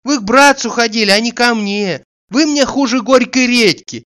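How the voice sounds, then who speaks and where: A man's voice: very high voice, normal speed, a bit bright, voice pushed up. A man voicing an indignant, plain-spoken, fed-up housewife in an audiobook, scolding. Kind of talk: dramatised audiobook dialogue.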